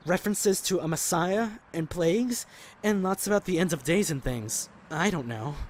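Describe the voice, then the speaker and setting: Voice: medium pitch, animated, moderate pace, natural voice. An actor playing a bookish, easygoing traveler in an audio drama, casual and conciliatory. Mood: mild, curious.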